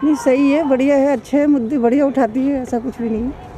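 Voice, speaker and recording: Woman interviewee, fairly high voice, casual and agreeable, traffic noise clear behind. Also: fairly even intonation, fast talker, dark tone, low-quality recording. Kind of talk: street interview answers.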